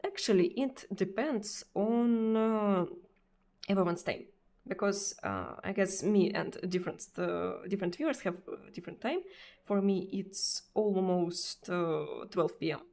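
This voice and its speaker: Woman streamer, russian accent, unhurried halting english, lots of uh's. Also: mid-range voice, darkish tone. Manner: hesitant, many fillers and repeats. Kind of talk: casual chat answering viewers.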